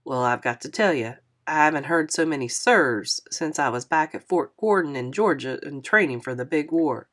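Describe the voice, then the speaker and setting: Lady reading as a kind old grandpa type, dry teasing, fatherly, a bit lower and softer. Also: moderate pace, few pauses, lightly acted.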